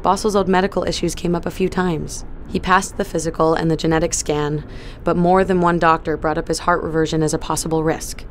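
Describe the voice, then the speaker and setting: A woman's voice: low voice, flat delivery, brisk, hardly any pauses, slight background noise. An actress playing a stubborn young woman on a space crew, blunt and defiant, who swears when pushed. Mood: determined. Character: young woman crew member, stubborn, worried about fiancé. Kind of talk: audio drama acting.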